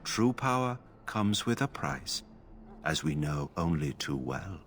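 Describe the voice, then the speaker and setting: A man playing a stoic, emotionless mage enchanter in a fantasy video game, speaking in a formal, measured way. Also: rumbling low, big pitch swings, a bit bright, a little hiss or hum, exaggerated voice. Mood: detached, formal. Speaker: game character. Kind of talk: scripted fantasy game acting.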